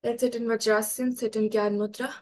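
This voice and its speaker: Casting: young Indian-accented female student, slightly high pitch, very level delivery, leading guided exercises. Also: level tone, fairly quick, a little mellow. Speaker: student leading yoga and meditation. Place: bedroom at home. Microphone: video call, device a little away.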